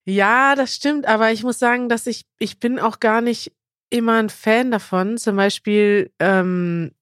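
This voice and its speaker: A woman's voice: a little high, measured pace, clean tone, no room sound, studio quality. A woman, chatting informally as a podcast co-host. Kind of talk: casual conversational podcast chat.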